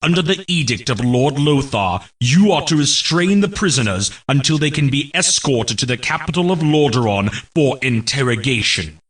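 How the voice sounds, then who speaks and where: A man playing a solemn military herald, narrating mission briefings for a fantasy game in a formal, measured, grave and declamatory way. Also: mid-range voice, lively intonation, a bit bright, far from the mic, close to an everyday voice.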